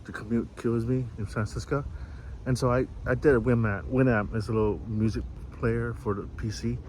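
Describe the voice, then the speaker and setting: A man from Vietnam talking informally and unscripted outdoors, in a halting way with broken-off, repeated phrases. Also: medium pitch, steady tone, darkish tone, slight background noise, poor audio. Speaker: vlogger and software developer. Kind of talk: informal unscripted monologue to camera. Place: outdoors, city view behind.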